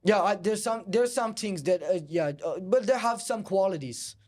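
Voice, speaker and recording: A man's voice: high-pitched, moderate pace, darkish tone. A man hosting a casual debate, asking needling questions in a hesitant, stop-and-start way. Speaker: host, debater. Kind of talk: confrontational casual debate interview.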